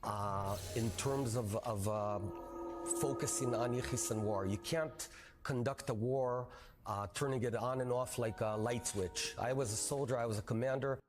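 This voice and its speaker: A man answering questions in a televised interview, measured and hesitant, with many fillers. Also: medium pitch, music in the background.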